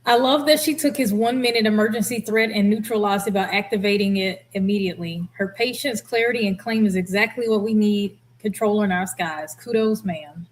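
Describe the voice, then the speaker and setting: A woman hosting a casual reaction commentary, reading out comments with a blunt, expressive delivery. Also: mid-range voice, fairly quick, few pauses, poor audio. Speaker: host, former air traffic controller.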